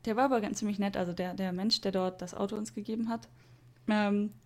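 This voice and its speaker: A woman's voice: mid-range voice, fairly even intonation, fast. Young woman rambling through a story, quotes folks, laughs here and there. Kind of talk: casual chat.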